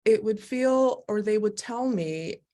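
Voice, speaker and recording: A woman speaking as a guest on a live video call, telling her own story in an animated, expressive way and often laughing as she talks. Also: medium pitch, slow pace. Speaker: guest, Human Design educator. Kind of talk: candid personal conversation.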